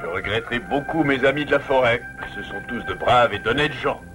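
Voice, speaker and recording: A man's voice: medium pitch, brisk, music in the background, natural voice. A man playing a modest, honest father beaver in a French cartoon dub, earnest and emphatic in his protests. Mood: solemn, sad.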